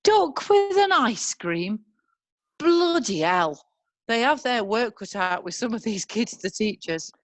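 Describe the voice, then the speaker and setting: Brief: a woman reading a crude, drawling mum, unhurried, higher voice. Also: sing-song. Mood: blunt. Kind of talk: amateur online play reading.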